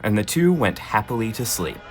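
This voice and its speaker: A man narrating in a gentle, expressive children's storybook style, with dry asides. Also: lowish voice, a little fast, a little hiss or hum.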